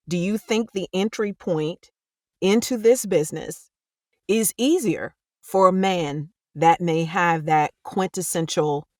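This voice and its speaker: A woman's voice: fairly low pitch, no room sound, studio quality. A woman who narrates audiobooks, asking emphatic questions in a casual roundtable discussion. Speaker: audiobook narrator, podcast co-host.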